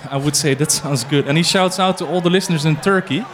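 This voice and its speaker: A man's voice: fairly high pitch, fairly quick, a bit bright, a little hiss or hum. A man co-hosting a live radio show, reading listener messages aloud and laughing between them. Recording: live broadcast over club music.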